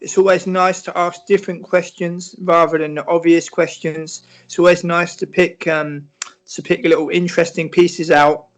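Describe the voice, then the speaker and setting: A British man answering interview questions in a measured, reflective way, often restarting and filling pauses. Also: fairly high pitch, rough recording. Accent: British English. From Britain. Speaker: football writer and interview guest.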